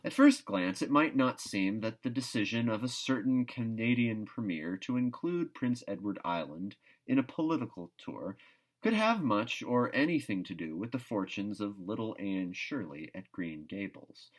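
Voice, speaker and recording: A man with a fairly low voice reads a storybook aloud. His narration is expressive and theatrical, leaning on key words with emphatic phrasing. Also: moderate pace. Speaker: audiobook reader.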